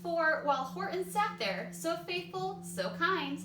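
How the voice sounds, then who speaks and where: Female storybook reader, high and lively, heard in an echoey room. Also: a little slow. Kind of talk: expressive children's story read-aloud. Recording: echoey room, distant mic, background noise.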